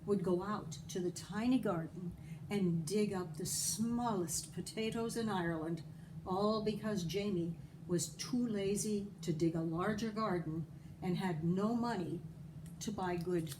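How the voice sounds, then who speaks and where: Unhurried woman storyteller reading aloud. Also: mid-range voice, noisy background. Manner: dramatic emphasis, asides to listeners. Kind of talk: expressive read-aloud narration of a children's folktale.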